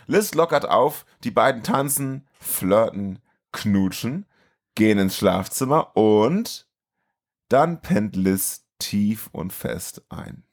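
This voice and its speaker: A man's voice: lowish voice, animated, slow. A man co-hosting a podcast, recapping and chatting about a film in a casual, colloquial way. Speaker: podcast co-host.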